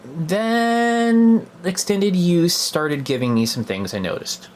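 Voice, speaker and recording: A man's voice: sits high, animated, slow pace, darkish tone, slight background noise. A young man giving a casual, unscripted product review in a hesitant, conversational way, as if thinking aloud.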